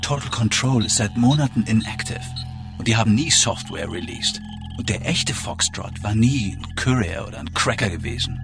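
A man's voice: lowish voice, expressive, normal speed, vocal fry. A man playing a serious, matter-of-fact reporter of facts in a scripted radio play. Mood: annoyed. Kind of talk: scripted radio drama and news reading. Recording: music bed behind the voice.

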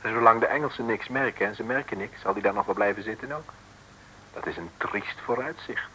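A man's voice: on the low side, fairly quick, bright, forceful tone. A man giving chatty, drily ironic scripted narration for an old radio serial. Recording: noisy old radio recording.

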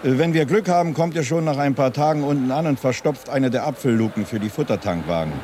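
A man's voice: medium pitch, fast talker, close to an everyday voice. An actor playing a male industrial site guide in a radio play, explaining things factually and evasively. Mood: unmoved. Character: matter-of-fact, evasive male silo facility guide. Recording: faint urban outdoor noise with vehicles.

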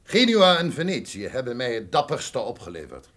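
Animated military count. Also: male voice, medium pitch, moderate pace. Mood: dutiful. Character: dutiful mercenary captain, a count reporting. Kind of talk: theatrical radio drama acting.

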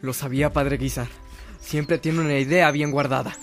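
A man's voice: medium pitch, steady tone, moderate pace, music in the background, natural voice. A man acting in a radio drama as a young, eager, deferential seminarian. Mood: admiring, curious.

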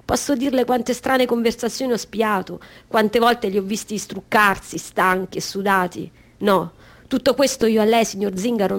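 High-voiced actress as eager circus-born woman. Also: natural voice. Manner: conversational, insistent, storytelling. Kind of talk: radio theatre monologue.

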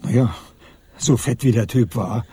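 An older actor playing a blunt, grumpy old conspirator in a radio crime comedy, with a husky, raspy voice. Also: male voice, medium pitch, unhurried, warm tone, dry recording. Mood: dismissive. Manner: blunt, gruff, dismissive.

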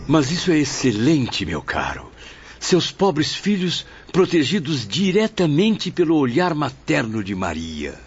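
An effusive, kindly friend played by a man exclaims in a radio drama. His voice is fairly high and swings very widely, on a dull, faintly noisy recording. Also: wildly swooping pitch, unhurried, full character voice. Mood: delighted. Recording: dull lo-fi audio, faint noise.

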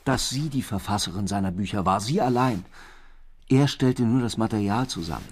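An actor playing a brooding, lovesick police detective who narrates a radio crime drama. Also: male voice, lowish voice, lively intonation, moderate pace, darkish tone, slight background noise, natural voice. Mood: grim. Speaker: lead actor and first-person narrator.

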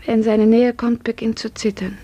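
A woman's voice: a little high, little pitch movement, normal speed, highs cut off, heavy background noise, lightly acted. A woman playing an earnest, oppressed nineteen-year-old serf actress in a 1950s radio play, speaking with intensity. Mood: fearful, bitter.

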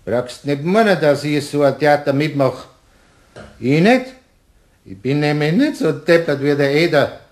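An actor playing a tipsy, stubborn husband with a Bavarian accent, blustering and mocking in a German radio play. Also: male voice, on the high side, lively intonation, unhurried. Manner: blustering, emphatic.

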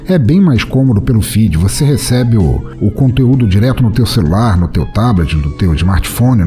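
A man hosting a podcast, giving relaxed, chatty closing remarks that wander a little as he talks to his listeners. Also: lowish voice. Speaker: podcast host.

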